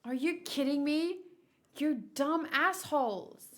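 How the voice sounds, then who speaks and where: A woman's voice: high voice, slow pace, a bit bright, voice pushed up. Woman voicing a snappy, defiant young female soldier. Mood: indignant. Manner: snappy, argumentative.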